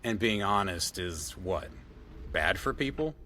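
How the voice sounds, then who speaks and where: A man's voice: low-pitched, animated, measured pace, pushed and bright, slight background noise, heavily acted. A man acting in an audio drama as an accusing, insistent figure from a dream, probing and confrontational.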